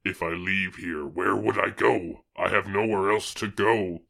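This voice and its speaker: Brooding swamp creature, put on by a male podcaster: very low, raspy, strained and pleading. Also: fairly even intonation, a little slow, a bit bright, heavily acted. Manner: pleading, dramatic reading. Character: anguished swamp monster, brooding and desperate.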